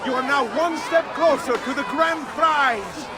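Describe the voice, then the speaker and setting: An actor playing a showy carnival game announcer in a video game, with an Italian accent and a crowd-rousing patter. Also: male voice, extremely high pitch, unhurried. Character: boisterous Venetian carnival game announcer man. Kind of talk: video game cutscene acting. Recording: loud festival crowd and music behind. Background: festival crowd and music.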